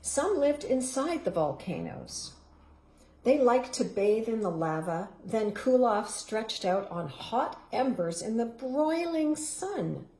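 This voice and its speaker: A woman reading a children's storybook aloud, expressive and savoring each word, stopping for playful asides to her young listeners. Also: sits high. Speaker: local councillor reading a children's book. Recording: echoey room.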